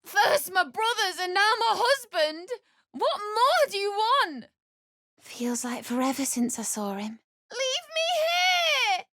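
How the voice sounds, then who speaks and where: A very high-pitched, desperate young widow from a video game. She speaks slowly and pleadingly, lurching between grief and bitter anger, in a close, dry recording. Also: sing-song, bright, forceful tone, no room sound, full character voice. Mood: bitter, angry. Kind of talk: dramatic video game voice acting.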